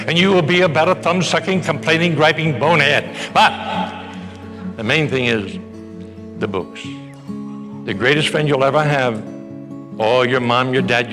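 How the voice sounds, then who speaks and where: A man giving a motivational speech with punchy repetition and humor. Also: a little high. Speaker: motivational speaker.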